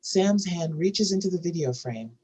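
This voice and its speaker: A woman with a husky voice narrating screenplay stage directions in a scripted reading. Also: lowish voice, expressive, normal speed, dark tone.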